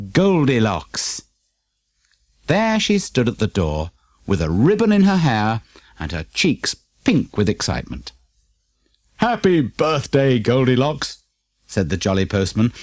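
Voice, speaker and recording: A man's voice: mid-range voice, darkish tone, dry recording, clean studio sound. A man with a British accent reading a children's story expressively as an audiobook narrator.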